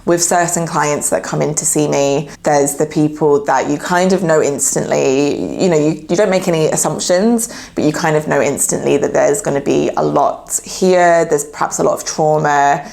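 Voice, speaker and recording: Young adult woman, low gravelly voice, slightly nasal from a cold, relaxed filler-heavy chat to camera. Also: normal speed, few pauses. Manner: chatty, repeats filler words like yeah and like. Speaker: therapist YouTuber. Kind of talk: casual talk to camera.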